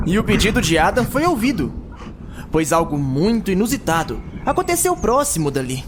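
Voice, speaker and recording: A man's voice: high voice, sing-song, normal speed, background noise. A man narrating a scripted fantasy audio drama as a dramatic storyteller. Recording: loud music behind the narration.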